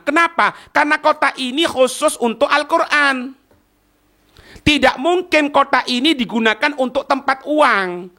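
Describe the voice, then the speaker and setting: Male Islamic teacher, East Javanese Indonesian accent. High-pitched, sharp delivery, didactic, question-and-answer explanations of a text. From East Java, Indonesia. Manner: didactic, asks rhetorical questions and checks understanding. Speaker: Islamic teacher giving a religious study class. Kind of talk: religious lesson reading and explaining an Arabic text line by line.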